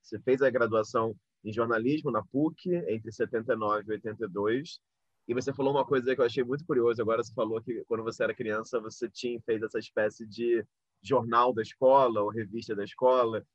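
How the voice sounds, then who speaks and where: A man's voice: medium pitch, fairly even intonation, fairly quick. A man with a Brazilian accent interviewing in a polite, discursive, conversational way, circling around his questions. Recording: roomy, dull lo-fi audio.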